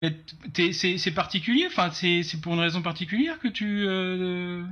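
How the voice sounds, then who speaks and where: A man hosts a casual interview over a video call. His voice is bright and sits a little high, and the sound is dull and lo-fi. He speaks haltingly and restarts his sentences often. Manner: halting, many fillers and restarts. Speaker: interviewer host.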